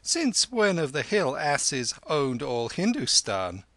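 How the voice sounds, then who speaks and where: Storybook street boy, acted by a man: lofty, taunting, quick-witted, pitched high with lively swings. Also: no room sound, exaggerated voice. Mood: mocking. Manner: taunting, loftily self-assured. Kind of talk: storybook character voice.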